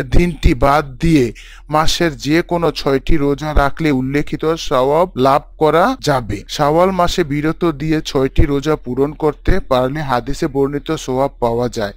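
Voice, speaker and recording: A man's voice: a little high, dry recording, natural voice. A man playing a devout imam who gives formal, instructive sermons in a cartoon story. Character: devout male imam teaching his congregation.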